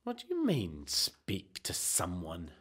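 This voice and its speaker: A man's voice: deep voice, huge pitch swings, takes it slowly, a bit bright, breathy edge, heavily acted. A man playing an indignant, evasive husband who protests and hesitates in a radio comedy. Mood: hurt, evasive. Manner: protesting, hesitant when cornered.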